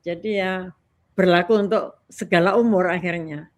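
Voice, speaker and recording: A woman's voice: lowish voice, normal speed. A woman in her sixties with an Indonesian accent, speaking as a talk guest in a casual, chatty, colloquial way. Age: 60s. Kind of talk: casual chat.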